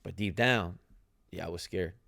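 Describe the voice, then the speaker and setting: A young man with Italian as his first language tells his own story in a loose, chatty way, tossing in "you know" and "right?" as he goes. His voice sits low with a gravelly edge. The sound is dry and close, with no room around him. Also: normal speed, bright, forceful tone, no echo. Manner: conversational, many fillers and tag questions. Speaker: podcast host, former soccer player. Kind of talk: casual storytelling.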